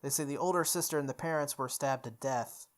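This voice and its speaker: A man voicing a serious, formal male high school student in a visual novel. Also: a little fast, close to an everyday voice. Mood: grave gossip.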